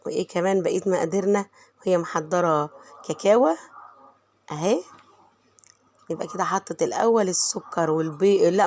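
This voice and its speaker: A middle-aged woman with an Egyptian Arabic accent, hoarse from a cold, chatting warmly to viewers as she narrates her home cooking. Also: fairly low pitch, a little hiss or hum. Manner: warm, chatty, talks straight to viewers. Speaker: home vlogger and mother.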